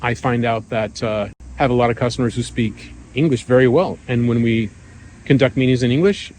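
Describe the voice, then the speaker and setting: A middle-aged man talking in a relaxed, rambling way, with many fillers and restarts, as a vlogger sharing his views. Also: medium pitch, normal speed, slight background noise, low-quality recording. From United States. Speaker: vlogger living abroad. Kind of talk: casual monologue.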